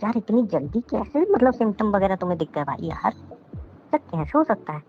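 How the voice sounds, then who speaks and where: A man acting a flustered, hungover young boyfriend in a phone-call drama, questioning repetitively, his voice pitched up and sped up. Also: sing-song, a little fast, a little hiss or hum. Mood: uneasy. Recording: muffled lo-fi call audio. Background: music.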